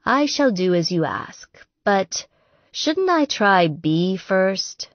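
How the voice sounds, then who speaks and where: A woman's voice: medium pitch, very animated, slow pace, no echo. A contrite but determined male lead in an audiobook romance, played by a woman narrator. He coaxes earnestly and takes his time. The sound is dry and slightly muffled. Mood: relieved, playful. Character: adult man, contrite, determined, teasing romance hero.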